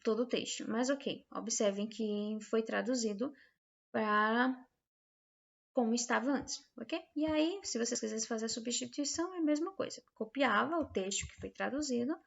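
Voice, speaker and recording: Female tutorial instructor, Brazilian accent, higher-pitched, hesitant and explanatory, informal delivery, muffled low-fidelity audio. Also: moderate pace, gravelly. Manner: hesitant, explanatory, checks understanding with short tags. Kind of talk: informal software tutorial, screen demonstration.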